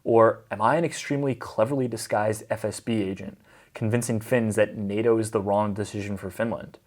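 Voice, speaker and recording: A man's voice: on the low side, fairly even intonation, fairly quick, darkish tone. A young man giving a scripted commentary vlog with wry, sarcastic asides. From United States. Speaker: vlogger.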